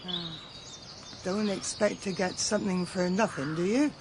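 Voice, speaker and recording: An actress playing a blunt, foreboding rustic fortune-teller in a radio drama. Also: female voice, fairly low pitch, unhurried, noisy background. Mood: sly, urgent. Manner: blunt, foreboding, non-standard grammar. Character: ominous rustic fortune-teller woman, wary, warning. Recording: outdoor ambience with birds behind.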